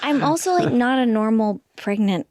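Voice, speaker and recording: A woman's voice: fairly high pitch, lively intonation, moderate pace, a little mellow. A woman in her thirties, a stand-up comic and pregnant guest, chatting casually with a small, light voice, laughing often and trailing into fillers. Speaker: guest comedian. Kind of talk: casual podcast chat.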